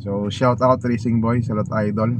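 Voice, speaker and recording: Filipino man vlogging casually over clear noise. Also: fairly low pitch, flat delivery. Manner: informal and halting, searching for words. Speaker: motovlogger host. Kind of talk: casual unboxing chat. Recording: clearly noisy, vehicle and ambient noise.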